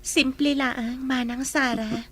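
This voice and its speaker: Woman acting a high-voiced young bride-to-be. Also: steady tone, slow pace. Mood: grateful. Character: young bride-to-be designer, modest, devoted, firm. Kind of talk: audio drama acting.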